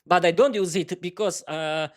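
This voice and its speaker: Halting Slovak-accented man rambling. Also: sits high. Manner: halting, frequent restarts and fillers. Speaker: podcast host. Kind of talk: unscripted solo monologue.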